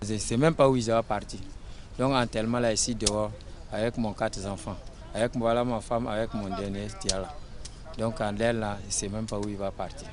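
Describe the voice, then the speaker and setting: Low, quiet man over a crowd. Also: steady tone, normal speed. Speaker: evicted resident. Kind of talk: street interview.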